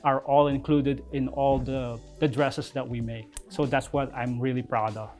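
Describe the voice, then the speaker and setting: A middle-aged man from the Philippines with a Filipino accent, a shop owner giving an interview in an earnest, halting way with many fillers. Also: mid-range voice, flat delivery, darkish tone, soft background music.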